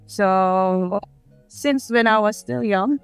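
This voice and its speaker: A woman's voice: mid-range voice, takes it slowly, clear, resonant tone, dry recording, faint music behind. A woman from the Philippines, answering interview questions in a hesitant, self-conscious way. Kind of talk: casual street interview answers.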